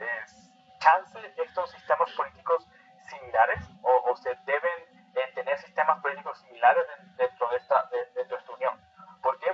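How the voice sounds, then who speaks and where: A man's voice: mid-range voice, dull audio. A man lecturing informally as an instructor, hesitant and often restating his phrases. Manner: hesitant, repetitive delivery, often restating phrases and checking understanding. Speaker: instructor of a political training class. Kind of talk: informal lecture explaining political integration.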